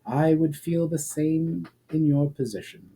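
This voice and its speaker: A man voicing a calm, regretful elf woman with a formal, measured way of speaking in a fantasy story reading. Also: a little high, slight background noise, voice pushed up, poor audio.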